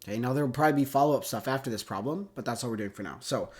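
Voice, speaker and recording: A man's voice: medium pitch, rapid-fire. A man with a congested cold, teaching a chemistry lesson in a casual, conversational way, often checking in with "right?" and "okay?". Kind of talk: recorded classroom lecture.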